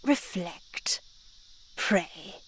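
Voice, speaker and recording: Slow, solemn pious nun. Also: female voice, on the low side, lively intonation, fairly bright tone, breathy edge, whispered, full character voice. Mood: composed, instructive. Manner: measured, solemn, preachy. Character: stern, pious nun in charge of a convent retreat. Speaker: voice actor in a visual novel. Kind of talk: horror visual novel character acting.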